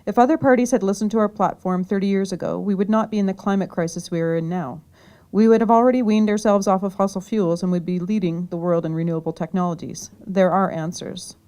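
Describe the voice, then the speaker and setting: Earnest woman with a mellow voice. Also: mid-range voice, fairly even intonation, quick pace. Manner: earnest, reading from notes. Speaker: political candidate. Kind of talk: prepared campaign speech. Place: community hall.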